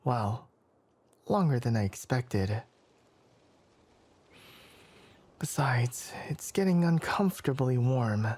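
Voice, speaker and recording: A man playing a young, scholarly forest ranger with animal ears in an ASMR roleplay, courteous and measured, with a soft, breathy voice. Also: mid-range voice, lively intonation. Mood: polite, welcoming. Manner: measured, hesitant, courteous, bookish phrasing. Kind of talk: ASMR roleplay, soft character acting addressed to listener.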